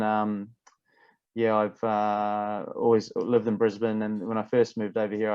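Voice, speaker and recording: A man from Fiji, a rugby coach chatting as a podcast guest, relaxed and repeating words as he thinks. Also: fairly low pitch, clean tone, no echo, lo-fi sound. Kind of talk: casual interview chat.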